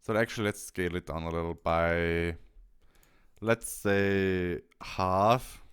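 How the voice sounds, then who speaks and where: A man presenting a software tutorial step by step, thinking aloud a little hesitantly as he demonstrates. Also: low voice, slow, smooth.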